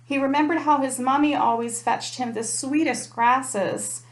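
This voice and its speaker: A woman's voice: high-pitched, fairly even intonation, unhurried. A woman reading a children's picture book aloud in a storytime style. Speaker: storytime reader, parent. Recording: echoey room.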